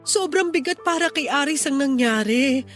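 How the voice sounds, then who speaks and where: High-voiced pleading young wife. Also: female voice, lively intonation, normal speed, fairly bright tone. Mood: distressed, insistent. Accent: Filipino. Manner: pleading, earnest, emotional. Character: pleading, desperate wife and mother, younger sister-in-law. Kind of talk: Filipino radio drama acting. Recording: music under the dialogue.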